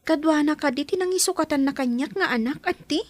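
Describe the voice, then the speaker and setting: A woman's voice: high-pitched, a little fast, no echo, lightly acted. A woman acting a troubled young girl in an Ilocano audio drama. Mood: concerned, curious. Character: troubled young girl asking after her sick mother. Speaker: actor in a drama.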